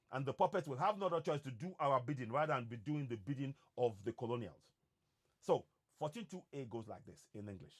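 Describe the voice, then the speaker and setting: Nigerian guy, yoruba first language, fired-up talk, voice swings up and down a lot. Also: fairly high pitch. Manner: emphatic, repeats words for emphasis. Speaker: online activist host. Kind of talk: impassioned monologue.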